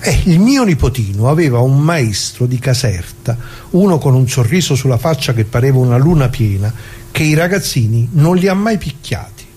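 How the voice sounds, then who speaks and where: Mellow older working-class man played by an actor. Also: mid-range voice, sing-song, slight background noise, close to an everyday voice. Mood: fond, reminiscing. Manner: colloquial, halting, directly addressing listeners. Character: elderly working-class man from Brescia, plain-spoken bombing survivor. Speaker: actor in a radio monologue. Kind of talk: conversational dramatic monologue.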